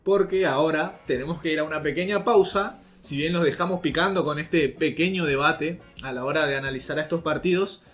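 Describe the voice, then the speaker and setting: A man hosting a semi-formal political discussion. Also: on the high side. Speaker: podcast host. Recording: faint music behind.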